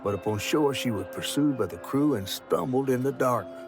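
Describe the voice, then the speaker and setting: An actor playing a solemn storyteller, narrating a tragic folk tale in a video game with literary, suspenseful phrasing. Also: male voice, medium pitch, animated, a little fast, lightly acted. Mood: tense, grave. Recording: music under the voice.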